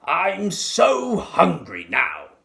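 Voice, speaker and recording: A man voicing a sly, ravenous crocodile villain in a storybook, savouring his words slowly and gloatingly. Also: high-pitched, huge pitch swings, vocal fry, sharp tone, voice pushed up, heavily acted. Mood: hungry, greedy.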